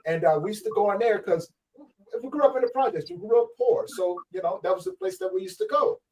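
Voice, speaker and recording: A man in his fifties from Virginia, telling stories in a relaxed way with lots of fillers. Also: sits high, fast talker. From Virginia, USA. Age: 50s. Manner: laid-back, loose storytelling with many fillers. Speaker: podcast guest. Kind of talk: casual chat. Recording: distant mic with faint noise.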